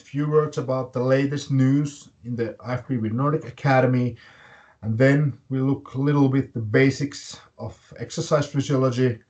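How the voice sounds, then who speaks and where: Webinar host: man, Finnish accent, mid-range voice, slow and deliberate presenting style. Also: medium pitch, darkish tone. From Finland. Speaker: webinar host and fitness academy director.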